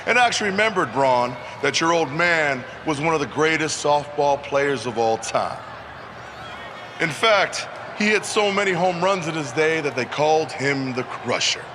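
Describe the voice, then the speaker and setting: An actor playing a menacing pro wrestler in a video game, taunting with mock-casual, deliberate storytelling. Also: male voice, fairly high pitch, animated, lightly acted. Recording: clear outdoor background noise.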